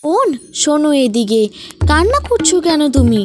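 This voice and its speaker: Cartoon casting: a woman as a reassuring elder sister-in-law, high voice, gentle and kind. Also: very animated, moderate pace, music in the background. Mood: comforting. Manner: gentle, encouraging.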